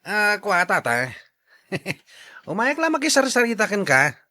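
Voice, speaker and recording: A man's voice: high voice, big pitch swings, a little slow, bright, forceful tone, full character voice. An actor playing an eager, inquisitive man who is deferential to his betters in a radio drama. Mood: eager, coaxing.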